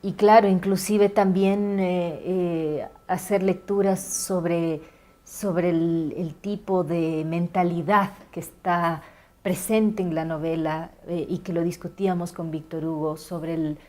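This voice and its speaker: Woman, mellow dark tone, explanatory interview talk, thoughtful, searching for words. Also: fairly low pitch, fairly even intonation, slow pace.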